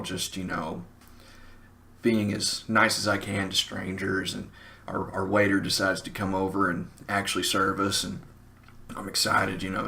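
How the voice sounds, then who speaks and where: Low, gravelly Southern storyteller guy. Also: pushed and bright, a little hiss or hum. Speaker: storyteller, show host. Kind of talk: casual comic anecdote storytelling.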